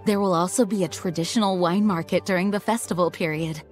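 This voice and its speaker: A woman voicing an earnest, dutiful young knight leader in an anime video game, speaking in a composed, formal, measured way. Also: on the low side, fairly even intonation, faint music behind, lightly acted. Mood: courteous, informative.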